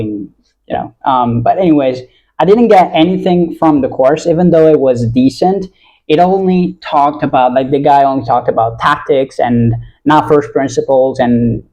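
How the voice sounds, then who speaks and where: A man's voice: mid-range voice, a little mellow, poor audio. A young man with an American accent talking casually and earnestly to his viewers in a rambling, self-correcting monologue. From Italy. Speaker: YouTube vlogger.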